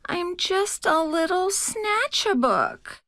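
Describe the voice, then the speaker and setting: A woman voicing a sad, apologetic little male storybook creature in a small, soft, mumbling voice. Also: very high-pitched, big pitch swings, slow, dry recording, studio quality, voice pushed up, exaggerated voice. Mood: mournful. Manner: mournful, mumbling.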